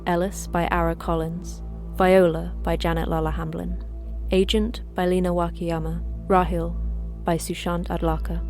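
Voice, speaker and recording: A woman's voice: lowish voice, dark tone. A woman announcer reading scripted podcast credits.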